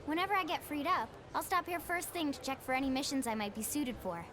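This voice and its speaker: A woman's voice: high voice, expressive, quick pace, a crowd in the background. An actress playing a perky, eager young woman guiding a tour in a video game, with a bubbly, playful, pushy manner. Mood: upbeat, helpful. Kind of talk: video game cutscene acting.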